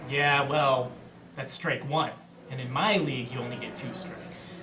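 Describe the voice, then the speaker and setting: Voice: medium pitch, steady tone, unhurried, background noise, natural voice. A performer at a comedic table read playing a whiny, insecure male actor who is sarcastic and blustering. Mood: angry. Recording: echoey room, distant mic, music behind.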